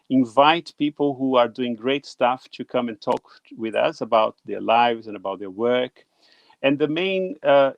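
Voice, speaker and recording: A man's voice: medium pitch, dry recording. A middle-aged Brazilian man with a Brazilian Portuguese accent, hosting a live stream in English in a casual, friendly way with many fillers. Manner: friendly, hesitant with fillers, reads out viewer names. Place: home room in Tokyo.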